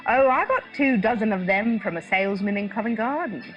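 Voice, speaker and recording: A woman's voice: medium pitch, animated, brisk, muffled recording, faint music behind, close to an everyday voice. An actress playing a cheery, chummy tavern landlady in a radio play. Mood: jolly, chummy. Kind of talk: radio drama acting.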